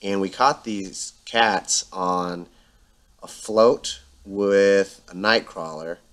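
A man calmly narrating his fishing video in a voice-over, explaining with frequent ums. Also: low-pitched, very slow. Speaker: angler and channel host.

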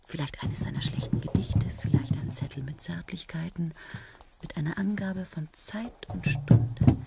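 An actress playing a tired, self-mocking woman scientist in a radio play, talking quietly and often near a whisper. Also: fairly low pitch, creaky. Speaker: lead character and narrator. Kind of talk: 1970s German radio play acting. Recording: old radio play with loud hiss.

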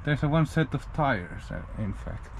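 A man's voice: medium pitch, big pitch swings, moderate pace, gravelly. A man, a Finnish native speaker, exploring an abandoned house outdoors and thinking aloud in casual commentary, often restarting his sentences. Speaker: urban explorer. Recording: noisy outdoor camera audio.

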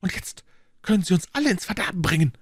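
A man's voice: high voice, lively intonation, fast, a bit bright, husky, dry recording, voice pushed up, exaggerated voice. A man voicing an anxious, reproachful young woman in an audiobook. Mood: alarmed. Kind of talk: audiobook character acting.

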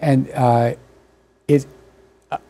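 Older guy, german was his first language, soft mellow tone, lots of uh and restarts, lapel mic in a tv studio. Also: mid-range voice, flat delivery, vocal fry. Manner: halting, many fillers and restarts, thoughtful. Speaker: author and guest. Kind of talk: studio interview conversation. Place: dark television studio.

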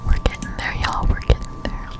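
A young woman speaks entirely in a soft, breathy whisper, very close to the microphone. She gives ASMR-style commentary over gameplay, thinking aloud in a loose, rambling way. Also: moderate pace. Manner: rambling, musing aloud to herself. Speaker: gameplay streamer. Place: indoors at a home computer. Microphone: close-up desktop condenser mic.

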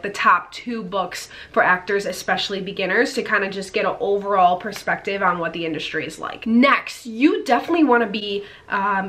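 A young woman in her twenties, an actor talking to camera at home, nasal from a cold and excitable, with lots of fillers and restarts. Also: medium pitch. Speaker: actor and YouTuber. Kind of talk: casual vlog advice to camera. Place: indoor room at home. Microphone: camera in front at head-and-shoulders framing.

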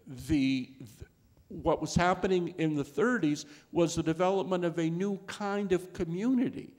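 A man's voice: fairly high pitch, darkish tone. A man in his sixties, a scholar on a panel, speaking into a handheld mic on a lecture hall stage, thoughtful and full of restarts.

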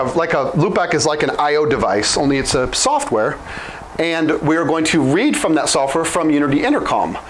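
A man's voice: a little high, slight background noise, poor audio. A man around his late thirties giving an informal, step-by-step technical explanation as an instructor. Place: small indoor office room with whiteboard. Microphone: lapel mic.

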